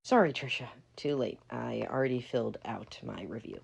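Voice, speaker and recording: A woman voicing a smug young wedding coordinator who talks flatly and dismissively. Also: rumbling low, normal speed, gravelly, voice pushed down, full character voice.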